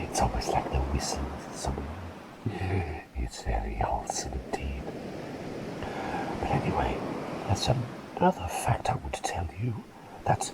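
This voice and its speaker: A man's voice: rumbling low, creaky, poor audio. A man whispering as an eccentric, chummy plague doctor in an ASMR roleplay, rambling and repeating phrases as he talks intimately to the listener.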